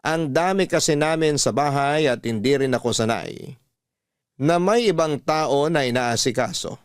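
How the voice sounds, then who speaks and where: A man's voice: on the high side, a little slow, clean tone, no echo, clean studio sound. Male radio narrator reading a letter.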